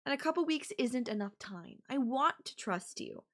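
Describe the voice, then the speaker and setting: A woman's voice: high voice, expressive, fairly bright tone. A woman playing an obsessive, menacing yandere girlfriend in an intimate audio roleplay, speaking to her captive lover with condescending, coaxing sweetness. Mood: wary, coaxing. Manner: sweetly condescending, coaxing, threatening, with lingering pauses.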